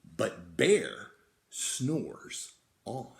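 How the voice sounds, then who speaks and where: A man tells a children's story with a very lively pitch and an unhurried, drawn-out delivery. He is expressive and inviting, like a teacher reading aloud. The room adds noticeable echo. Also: creaky. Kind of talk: animated picture-book storytelling. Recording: roomy, echoing indoor sound.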